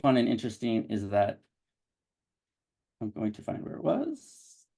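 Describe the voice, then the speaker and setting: Halting man with a low voice. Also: sing-song, gravelly, warm tone. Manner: halting, with many restarts and fillers. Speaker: digital project developer presenting a site. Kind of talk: presenting a web interface on a video call. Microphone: video call.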